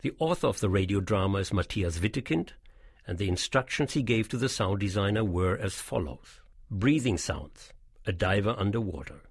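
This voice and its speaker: A man reading a scripted documentary narration in a measured, explanatory way, as the guide of an audio tour. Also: on the low side, slightly rough, no echo, studio quality.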